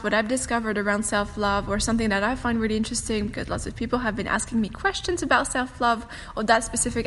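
Fast-talking French-native woman podcaster. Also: fairly high pitch. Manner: fast-talking, chatty, laughs often. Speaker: podcast host and meditation teacher. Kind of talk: casual solo podcast chat. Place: home room with a fireplace on a windy day. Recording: long room reverb, faint wind whoosh. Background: faint wind noise from the fireplace.

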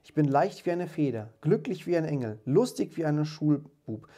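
A man's voice: mid-range voice, normal speed, a little mellow, lightly acted. A man voicing a reformed old miser from a storybook, exclaiming with great emotion and emphasis. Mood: giddy joy. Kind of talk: dramatised storybook reading.

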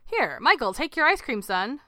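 A woman's voice: medium pitch, expressive, moderate pace, pushed and bright, smooth, no room sound, clean studio sound. A woman voicing an eager, chummy family dad who coaxes emphatically in a story reading. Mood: unsettled, insistent. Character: eager family dad growing confused and unsettled.

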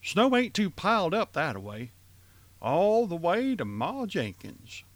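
A man's voice: sits high, wildly swooping pitch, measured pace, no echo, full character voice. A man playing an easygoing, folksy rustic sleigh driver with countrified speech in an old-time radio drama. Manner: folksy, rural dialect grammar.

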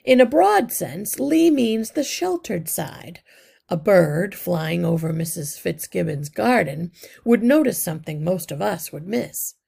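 A woman voicing an old, wise male rat leader in a storybook, measured and courteous as he explains. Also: fairly low pitch, lively intonation, gravelly, dark tone, breathy edge, exaggerated voice.

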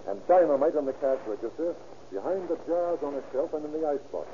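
A man's voice: fairly high pitch, expressive, warm tone, close to an everyday voice. Male actor as matter-of-fact, probing police detective, old-time radio drama, dull and noisy sound. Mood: stern, probing. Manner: deliberate, formal, probing. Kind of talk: 1930s radio acting.

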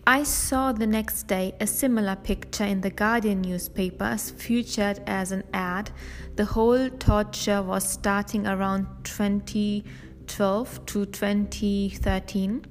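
A woman's voice: mid-range voice, big-room sound. A woman hosting her own show, reading a personal account aloud from handwritten notes in a careful, earnest way, sometimes restarting a phrase. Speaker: podcast host.

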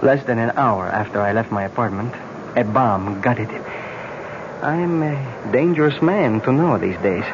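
A man playing a courteous, solemn young prince in danger, speaking with measured politeness in a 1970s radio drama. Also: mid-range voice, expressive, lightly acted. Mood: grave. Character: grave, courteous young prince marked for death. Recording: muffled, very noisy old radio recording.

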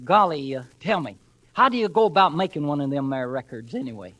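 A man's voice: a little high, lively intonation, brisk, a bit bright. An actor playing a brash, naive country sidekick who fancies himself a ladies' man, folksy and boastful in old radio comedy style. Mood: curious, naive. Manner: folksy, boastful, rustic speech. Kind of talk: 1950s radio comedy acting. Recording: noisy vintage radio broadcast with clicks.

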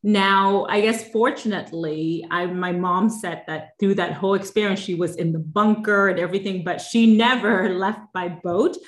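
A woman's voice: mid-range voice, normal speed, hardly any pauses, echoey room. A woman in her forties, originally from Vietnam, telling her life story as an interview guest in a reflective way with many fillers and restarts. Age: 40s. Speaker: guest, life and business coach.

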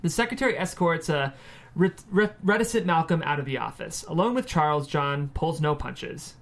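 A man's voice: fairly high pitch, lively intonation, normal speed, gravelly. A man reading the stage directions as narrator of a screenplay table read.

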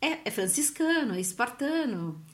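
A woman from Brazil with a fairly high voice recounts her family's move abroad in easy, conversational storytelling. She laughs often and gives the people she quotes little voices of their own. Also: very animated, normal speed. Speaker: guest. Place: Italy.

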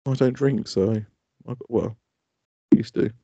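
A man's voice: mid-range voice, a little slow, gravelly, mellow, breathy edge, no echo, dull audio. A man in his thirties co-hosting a comedy podcast, blunt and sweary in casual joking chat. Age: 30s. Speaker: podcast co-host.

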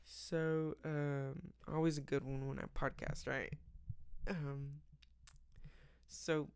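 Tired podcast host, raspy and gravelly, mid-pitched, rambling faith talk full of ums. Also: little pitch movement, slow pace, a little mellow. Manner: rambling, many fillers and restarts. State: tired, late at night. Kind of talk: casual faith podcast with prayer.

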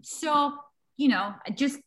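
A woman in her forties, a language educator being interviewed, talking lively, laughing often and acting out the people she quotes. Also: high voice, slow. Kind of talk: conversational interview.

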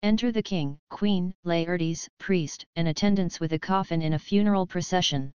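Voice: mid-range voice, moderate pace, darkish tone, a little hiss or hum. A narrator reading the stage directions of an audio play as scripted narration. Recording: dull lo-fi narration track.

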